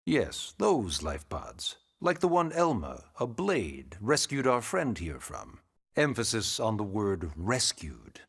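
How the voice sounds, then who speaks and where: A man playing a wry, formal, gently pushy government secretary in a video game cutscene, courteous and smug. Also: fairly low pitch, very animated, takes it slowly, hardly any pauses, slightly rough, exaggerated voice. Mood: wry, persuasive. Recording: loud music behind the voice.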